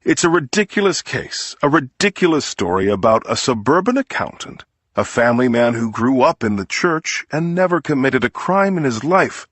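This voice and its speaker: A man's voice: mid-range voice, big pitch swings, moderate pace, fairly bright tone, dry recording. A man voicing an indignant, persuasive defense lawyer delivering an oratorical closing argument to a jury in an audiobook. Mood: scornful. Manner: oratorical, emphatic, scornful.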